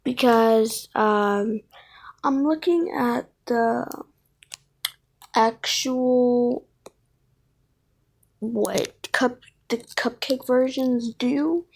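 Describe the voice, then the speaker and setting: A woman's voice: a little high, extremely slow, gravelly, long pauses, breathy edge. A woman hosting casual fan commentary on game characters, thinking aloud and often correcting herself. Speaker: video creator, host.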